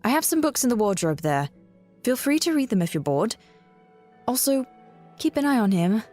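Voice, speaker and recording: A woman playing a soft-hearted, practical travelling bard in an audio drama, speaking in a gentle, reassuring manner. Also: a little high, very animated, moderate pace, faint music behind. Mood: brisk, fond.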